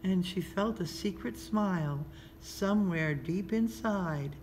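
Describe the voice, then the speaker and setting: A woman's voice: on the low side, slow, darkish tone. A woman narrating a children's storybook expressively as a story reader. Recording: clearly noisy background ambience.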